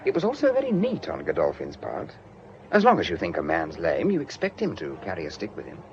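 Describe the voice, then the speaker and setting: An actor playing a breezy, witty young painter with a British accent, flippant and theatrical in a vintage radio drama. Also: male voice, low voice, wildly swooping pitch, fairly quick, full character voice. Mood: self-pleased, wry. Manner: jaunty, flippant, theatrical flourishes. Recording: muffled, noisy old radio recording.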